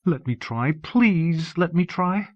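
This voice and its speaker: A man voicing an eager, murderous minion in an audiobook, fervent and servilely devoted to a dark master. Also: a little high, expressive, slow, no echo, voice pushed up. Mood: eager, pleading. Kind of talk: audiobook character voice. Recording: muffled old cassette audiobook.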